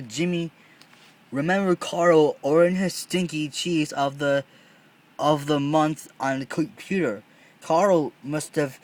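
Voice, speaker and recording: A man narrating a storybook read-along, often stumbling and repeating phrases as he reads. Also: on the high side.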